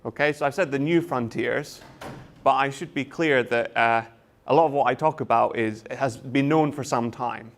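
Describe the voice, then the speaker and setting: A man's voice: mid-range voice, moderate pace. A Scottish man in his thirties, a university lecturer, giving a presentation to students in a lecture room, hesitant with frequent fillers and restarts. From Scotland.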